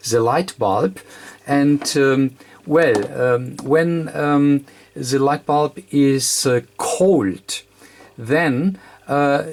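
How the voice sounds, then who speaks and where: Slow, very halting tutorial presenter. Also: medium pitch, darkish tone. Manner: very hesitant and halting, with constant ums and uhs between short phrases. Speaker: presenter of an electronics repair tutorial. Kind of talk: unscripted technical explanation.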